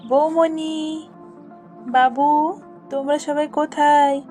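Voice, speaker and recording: A woman voicing an excitable, easily frightened aunt in a family cartoon. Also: high-pitched, slow pace, faint music behind. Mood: expectant. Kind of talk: cartoon voice acting.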